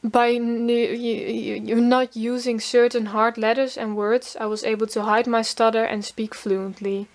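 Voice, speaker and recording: A woman's voice: a little high, level tone, a little slow, hardly any pauses. A teenage girl narrating her own story, stuttering often on first sounds of words. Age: teens. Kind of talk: pre-recorded personal narration.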